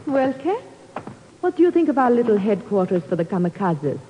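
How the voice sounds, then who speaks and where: A woman's voice: mid-range voice, big pitch swings, a little fast, background noise, natural voice. An actress playing a cold, mocking female spy chief in a 1950s radio drama, taunting and imperious. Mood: cold, triumphant. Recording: reverberant, dull old radio recording.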